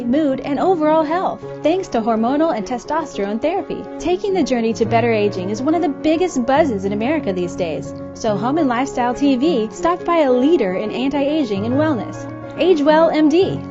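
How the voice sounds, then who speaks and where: A woman's voice: sits high, lively intonation, brisk. A woman reading polished, enthusiastic scripted narration for a TV health segment. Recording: lo-fi with clear music bed.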